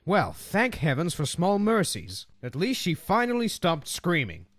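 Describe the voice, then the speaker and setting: A man's voice: sits high, huge pitch swings, a little slow, exaggerated voice. A man playing a cold, haughty industrialist father in a comic dub, curt, imperious and callous.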